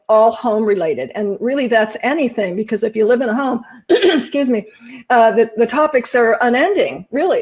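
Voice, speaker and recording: A middle-aged woman from Southern California, hosting an interview show, encouraging her guest and laughing often. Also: mid-range voice. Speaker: host. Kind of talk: casual interview chat.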